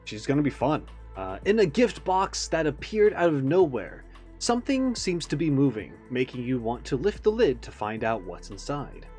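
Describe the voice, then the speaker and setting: Male reaction host, mid-pitched, animated and musing, casual analysis with music underneath. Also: moderate pace. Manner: animated, musing, thinking aloud. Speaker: reaction video host, game fan.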